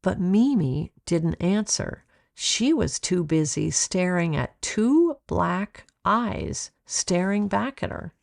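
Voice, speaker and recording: A woman reading her own children's story aloud as a deliberate, expressive narrator, recorded close. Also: on the low side, creaky. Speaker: author reading own book.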